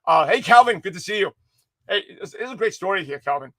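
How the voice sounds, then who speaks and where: A man giving an energetic livestream pep talk to his viewers, his voice hoarse and slightly raspy, rambling with many restarts and self-corrections. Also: high voice, brisk, no room sound. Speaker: motivational speaker giving a daily pep talk.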